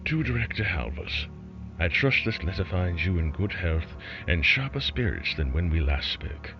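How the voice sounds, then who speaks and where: A man plays a stern, pompous government official in a horror audio story. The voice is low and formally measured, carrying a veiled threat. Music plays under the slightly dull recording. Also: big pitch swings. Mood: cold, veiled menace.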